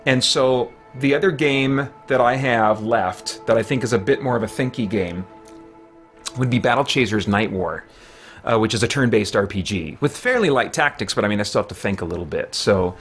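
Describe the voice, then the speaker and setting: A man in his thirties or forties chatting casually on a game livestream, thinking aloud in a halting way. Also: mid-range voice, faint music behind. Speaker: video game live streamer. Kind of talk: casual livestream chat while gaming. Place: small indoor room with shelves.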